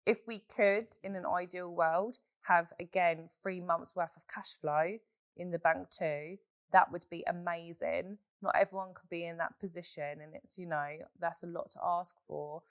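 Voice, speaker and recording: Hoarse female podcast host, frank and animated, low-ish voice, dry room. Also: a little slow, no room sound. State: hoarse from a chest infection. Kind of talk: solo podcast Q&A, casual chat.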